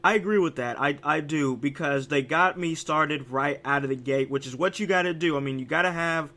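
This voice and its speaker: Raspy, bright-voiced male podcast host. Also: fairly high pitch, a little fast. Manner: animated, hype delivery with many restarts. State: raspy from a cold. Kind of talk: casual podcast chat.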